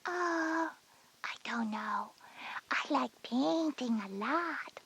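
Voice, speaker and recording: A small, inquisitive kindergarten girl, with an oddly breathy, almost whispered voice. She is high-pitched and soft, a childlike younger sister in a scripted story cassette. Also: lively intonation, drawn-out words, full character voice. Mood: wistful, curious. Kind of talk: scripted children's educational audio drama.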